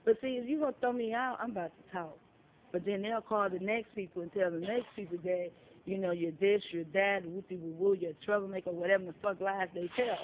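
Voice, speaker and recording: A woman's voice: mid-range voice, fairly even intonation, brisk. A woman, a shelter resident, telling a story in casual chat, blunt and profane, acting out the dialogue she quotes, recorded on a phone outdoors. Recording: tinny phone-like audio, faint noise.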